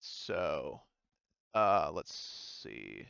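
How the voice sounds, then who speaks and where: A man's voice: rumbling low, very animated, vocal fry, a bit bright, clean tone, dry recording. Man game master, clean but dull audio. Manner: halting, thinking aloud while working out rules. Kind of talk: running a tabletop roleplaying game, casual.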